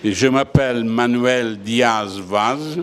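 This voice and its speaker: Older man, from portugal, measured and deliberate, mid-pitched voice. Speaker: association president. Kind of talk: interview statement.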